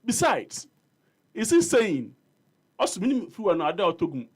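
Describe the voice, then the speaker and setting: A Ghanaian man pressing his point in a heated studio debate. His voice sits a little high, and he argues in a mix of English and Twi. He sounds insistent and argumentative. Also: big pitch swings, moderate pace. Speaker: panel guest. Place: radio studio.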